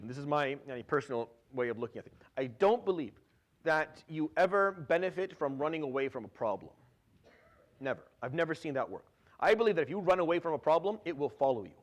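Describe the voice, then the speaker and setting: A man in his thirties, raised in Syria, giving an informal Quran commentary lecture, talking conversationally with many restarts and swift Arabic recitations. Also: medium pitch. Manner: conversational, frequent restarts and repeated words, drops Arabic fillers.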